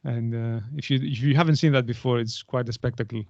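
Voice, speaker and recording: Guy being interviewed, explains stuff kinda stop-start, mid-pitched voice, dull lo-fi sound. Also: fairly even intonation, brisk, a little mellow, dry recording. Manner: hesitant, stop-start phrasing with repeated words. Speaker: podcast guest, anthropologist.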